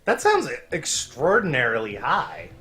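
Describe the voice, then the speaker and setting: A man's voice: a little high, huge pitch swings, unhurried, bright, forceful tone. A man co-commentating on a game stream with casual, wry side remarks. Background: game music.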